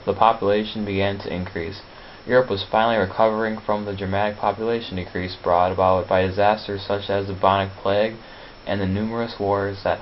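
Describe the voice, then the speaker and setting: A man reading scripted educational narration, sometimes stumbling over his words. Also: sits low. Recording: dull, lo-fi, faint hiss.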